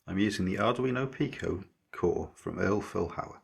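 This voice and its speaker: A man narrating a scripted electronics project tutorial as its maker, explaining methodically in a measured way. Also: low-pitched, gravelly, husky.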